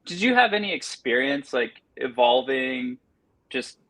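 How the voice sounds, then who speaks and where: A man's voice: medium pitch, big pitch swings, unhurried, a bit bright, rough recording. A man in his early forties hosting a casual podcast chat, rambling with many restarts and fillers. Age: 40s. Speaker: podcast host.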